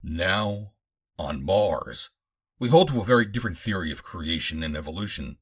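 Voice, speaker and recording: Audiobook role: male reader as proud Martian master scientist, grandiose and formal, low husky voice, lively inflection. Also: moderate pace, heavily acted. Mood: lecturing. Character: Martian master scientist, proud, calculating creator of artificial bodies.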